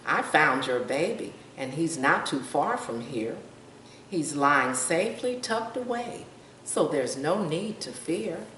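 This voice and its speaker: A woman's voice: deep voice, expressive, slow, a bit bright, heavily acted. A woman voicing a tiny, kind male frog from a storybook, brave, earnest and reassuring. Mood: calling, reassuring. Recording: roomy, distant mic, faint noise.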